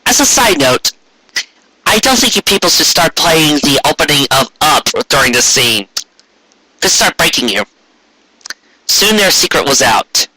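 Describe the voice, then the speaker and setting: A man reading a story aloud as a dramatic narrator, with expressive emphasis and wry asides. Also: on the high side, unhurried, long pauses, slightly rough, dry recording, rough recording. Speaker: fan fiction reader.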